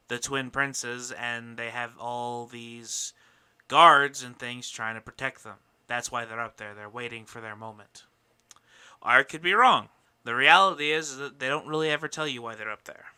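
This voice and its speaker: A man hosts a casual podcast in a very bright, forceful voice with a smooth, clear tone. He is opinionated and rhetorical, with some swearing and mock indignation. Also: mid-range voice, fairly even intonation, measured pace. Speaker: podcast host.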